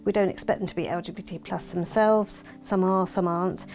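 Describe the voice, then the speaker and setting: A woman explaining her organisation's work in a measured way, as in an interview. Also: lowish voice, steady tone, a little mellow, slight background noise. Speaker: charity therapy service staff. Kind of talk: explanatory interview talk to camera.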